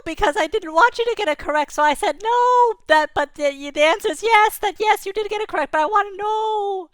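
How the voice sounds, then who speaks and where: Woman putting on a tiny glowing riddle-master, male, squeaky and snarky, very high and bright, dry close sound. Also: no room sound. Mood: excited, snarky. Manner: squeaky, excitable, hurried.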